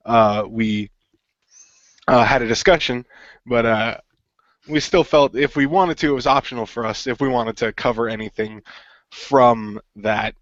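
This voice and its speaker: A man's voice: mid-range voice, no room sound. Congested guy hosting a chatty podcast, laughs a lot, dull dry audio. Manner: laughs often, loose with fillers. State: congested from a cold. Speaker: podcast host. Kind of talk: casual chat.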